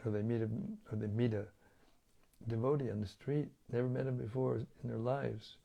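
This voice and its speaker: A hoarse man losing his voice, hosting a daily scripture reading in a relaxed, chatty way over an online video stream. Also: mid-range voice, normal speed, muffled recording. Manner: relaxed, chatty, with light chuckles. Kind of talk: casual talk and Q&A on scripture.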